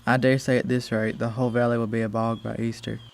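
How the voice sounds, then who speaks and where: A teenage boy in an amateur school radio play, voicing a flustered, chatty gentleman husband with a creaky voice and a stammer. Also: medium pitch, flat delivery, quick pace, lightly acted. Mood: jovial, apologetic. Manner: stammering, talkative, eager to please. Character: flustered, chatty, joke-telling English gentleman husband and master.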